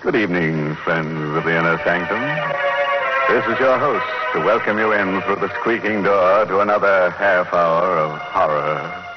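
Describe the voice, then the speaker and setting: An actor playing a macabre, wry horror host on 1940s radio, slow and mock-courteous with morbid puns. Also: male voice, sits low, natural voice. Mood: ghoulishly genial. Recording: muffled old radio recording, music behind.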